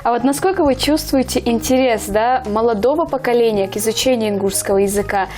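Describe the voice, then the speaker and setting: A woman's voice: on the high side, fast talker. A woman hosting a TV morning show, greeting viewers and questioning her guest in a friendly, encouraging way. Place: TV studio.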